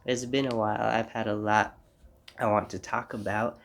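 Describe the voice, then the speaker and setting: A young man with a stuffy, congested nose from a cold hosts his own podcast from home. His voice is gravelly and fairly flat in pitch. He rambles reflectively and breaks off now and then to apologize. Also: normal speed. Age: young adult. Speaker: podcast host. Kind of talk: casual solo podcast monologue. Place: home room.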